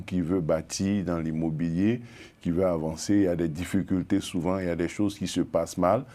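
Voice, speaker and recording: A man's voice: low-pitched, dark tone. A man from Côte d'Ivoire, a journalist guest on a TV panel, talking with frequent hesitations. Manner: hesitant, many fillers and restarts. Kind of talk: TV panel discussion.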